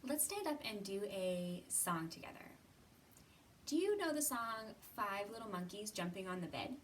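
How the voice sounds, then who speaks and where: A woman librarian giving a storytime reading for young children, sing-song and expressive, pausing to ask the little listeners questions. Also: mid-range voice, a little hiss or hum.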